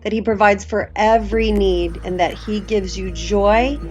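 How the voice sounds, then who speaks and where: A woman's voice: mid-range voice, fairly even intonation, unhurried, darkish tone. A woman narrating a scripted Bible read-along as its host. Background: background music.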